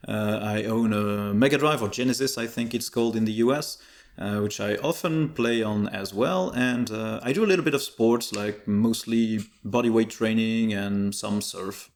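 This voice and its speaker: A man with French as his first language talks as a guest in a casual interview. His voice sits fairly low with a strong creaky edge, and he explains things in an easygoing, detailed way. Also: normal speed. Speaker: interview guest, tech YouTuber.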